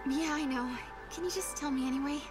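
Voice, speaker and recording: An actress voicing a gentle, bewildered young schoolteacher in a dramatic video game, with an earnest, hesitant way of talking. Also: female voice, sits high, brisk, close to an everyday voice. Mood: puzzled. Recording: music loud behind the voice. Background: loud music.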